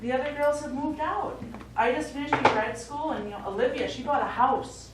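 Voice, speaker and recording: A woman's voice: high voice, fairly quick, natural voice. An actress playing a concerned middle-aged daughter pleading with her elderly father in a stage play. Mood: worried. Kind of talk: live stage play acting. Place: small indoor theatre stage. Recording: echoey theatre, distant mic, faint noise.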